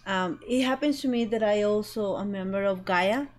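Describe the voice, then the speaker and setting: A woman's voice: medium pitch, normal speed. A young woman from Ecuador, a guest in casual chat, speaking carefully and searching for words. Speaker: guest entrepreneur. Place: small studio with green-screen set.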